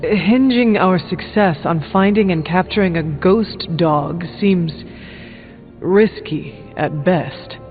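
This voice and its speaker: A resolute female warrior talks in formal, dryly confident lines for a video game. Her voice is on the low side. The audio is narrow and phone-like, with faint music behind. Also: slow pace. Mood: dry, skeptical. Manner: formal, determined diction. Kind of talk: video game character dialogue. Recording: thin band-limited game audio, background music.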